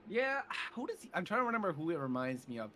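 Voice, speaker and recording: A man guesting on a stream, raspy and joking, chatting in a lively, mock-defensive way. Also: high voice, fast talker. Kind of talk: casual chat on a stream.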